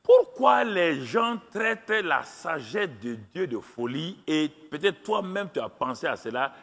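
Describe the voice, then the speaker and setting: A male pastor preaching with emphasis, his voice a little high and bright, rising and falling widely. He drags out the phrases he wants to land. The sound rings with the long reverb of a large hall. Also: sing-song, husky. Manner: emphatic preaching, repeats key phrases slowly. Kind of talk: sermon with live interpreter.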